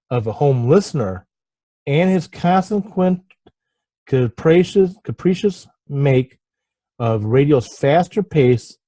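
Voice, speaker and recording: A man hosting an informal writing lesson, halting and apologetic as he talks and reads aloud, often stumbling over his words. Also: mid-range voice, warm tone, dry recording. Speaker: host of a writing podcast. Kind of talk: informal lesson with passages read aloud from a book.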